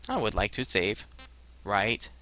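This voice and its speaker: A man's voice: lowish voice, very animated, slow pace, pushed and bright, no echo. A man in his twenties giving casual let's play commentary and reading game screens aloud on a headset mic. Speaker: gaming video host.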